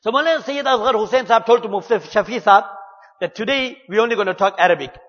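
A man delivers a measured, earnest religious talk in a high voice. His words ring out with a long hall echo. The sound is dull and muffled. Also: fairly bright tone. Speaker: Islamic preacher.

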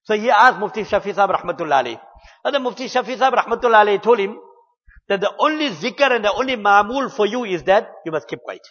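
Earnest preacher, high voice. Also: male voice, animated, fairly bright tone, in a large hall, lo-fi sound. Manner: earnest, measured delivery with Arabic and Urdu religious terms. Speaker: Islamic preacher. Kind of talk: religious talk.

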